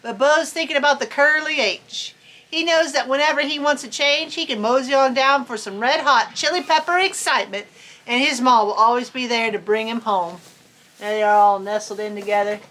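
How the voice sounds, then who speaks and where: A woman's voice: sits high, moderate pace, bright, forceful tone. A woman reading a storybook aloud in a folksy, playful way, with chatty asides.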